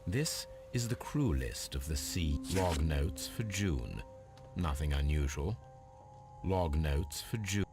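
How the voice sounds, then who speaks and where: A man playing a composed, analytical middle-aged Victorian detective in a video game, speaking formally and deliberately with a British accent. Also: very deep voice, lively intonation, over loud music, heavily acted. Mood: pensive. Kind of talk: video game dialogue and musing aloud.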